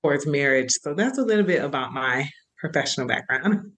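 A woman's voice: low-pitched, expressive, brisk, fairly bright tone. A young woman from Florida, a marriage and family therapist, answering interview questions in an eager, chatty way. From Florida, USA.

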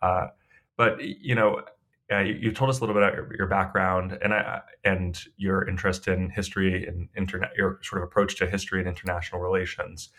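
Low-voiced male interviewer, flat intonation. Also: a little fast. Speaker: podcast interviewer, host. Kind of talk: conversational interview questioning.

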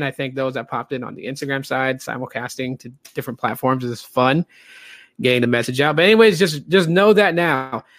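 A man's voice: on the high side, fairly bright tone, no echo, clean studio sound. A man in his late thirties with a light voice, hosting a casual livestreamed podcast and rambling chattily with many fillers. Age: late 30s. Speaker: podcast host.

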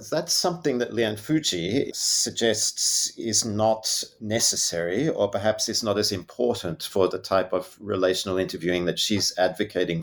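Male interviewer, very low voice, thoughtful formal questions. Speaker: podcast host.

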